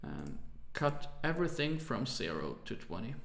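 Hesitant man, gravelly voice. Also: mid-range voice, big pitch swings, normal speed, a bit bright, roomy sound, slight background noise. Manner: hesitant, many "uh" fillers, apologetic about his English. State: tired, late at night. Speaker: music production tutor. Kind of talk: screen-recorded software tutorial.

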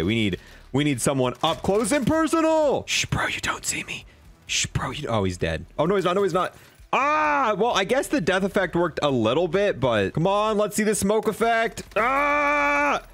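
Animated, higher-pitched young man reviewing games. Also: no echo. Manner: excitable, slangy bro-and-dude talk. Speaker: gaming video creator. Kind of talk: casual game review commentary.

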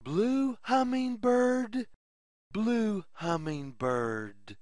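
Very slow, sing-song children's story reader. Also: medium pitch, expressive, a little mellow, no room sound, clean studio sound. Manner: deliberate, word-by-word delivery with sing-song questions. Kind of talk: slow read-aloud of a repetitive children's picture story.

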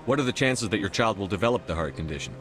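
An actor playing a calm soldier hero in his thirties in a video game, mediating in a direct, diplomatic way. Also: on the low side, very fast, music in the background, natural voice. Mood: calm inquiry. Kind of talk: video game dialogue acting.

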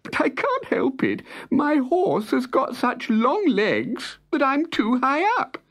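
A storyteller voicing a tearful soldier guard in a children's storybook, speaking high and wailing through sobs. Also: huge pitch swings, slow, fairly bright tone, heavily acted. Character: sobbing soldier guard, adult man, tearful.

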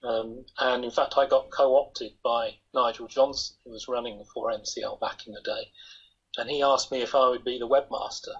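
Older guy, really husky voice, chatty and self-deprecating, dull lo-fi webcam sound. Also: mid-range voice, fairly even intonation, moderate pace. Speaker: interview guest. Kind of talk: casual video-call interview. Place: home room, indoors. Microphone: laptop webcam on a video call. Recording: dull lo-fi video call audio.

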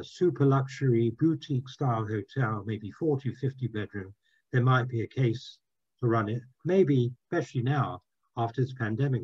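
A man's voice: mid-range voice, breathy edge, muffled recording. An older man, the guest speaker, giving a talk and taking questions on a video call in a room at home, in a friendly, chatty way. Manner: friendly, chatty, quick to agree.

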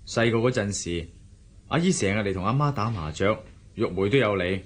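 A man's voice: on the low side, animated, fairly quick, husky. A man with a Hong Kong Cantonese accent acting an earnest, devoted young husband in a radio drama, defensive and teasing by turns. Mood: explaining.

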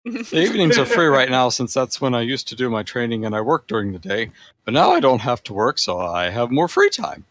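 A man's voice: mid-range voice, wildly swooping pitch, fairly quick, fairly bright tone, no room sound. A man reading amateur writing aloud for comedy, with an earnest, casual delivery. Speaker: podcast reader.

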